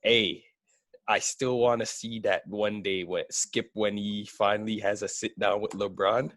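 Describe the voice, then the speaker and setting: A man co-hosting a casual sports podcast, relaxed, steering the talk with short agreeing replies. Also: on the low side, unhurried. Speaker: podcast co-host.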